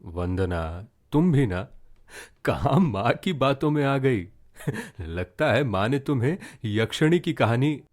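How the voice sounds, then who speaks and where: A man's voice: mid-range voice, sing-song, takes it slowly, voice pushed up. Man playing a teasing, sceptical young husband. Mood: mocking, amused. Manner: teasing, dismissive.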